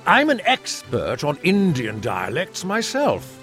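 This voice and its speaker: A man voicing an elderly male colonel who speaks in a polite, gentlemanly way, in an audiobook reading. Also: on the high side, wildly swooping pitch, slow, faint music behind, voice pushed up, exaggerated voice. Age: older.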